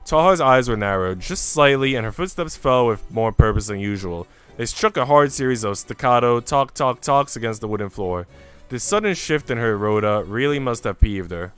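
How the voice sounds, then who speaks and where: A man streaming a visual novel, reading it aloud as expressive narration and making casual asides. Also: medium pitch, moderate pace, clear, resonant tone. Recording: music playing behind the voice. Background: music.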